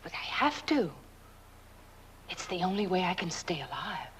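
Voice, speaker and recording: An actress playing a fearful, trapped young wife in horror-comedy film dialogue, breathy and melodramatic, often sinking toward a whisper. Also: female voice, on the low side, very animated, normal speed, a bit bright, a little hiss or hum. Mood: anxious pleading. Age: young adult. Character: fearful, trapped young woman married to a corpse. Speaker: film actor.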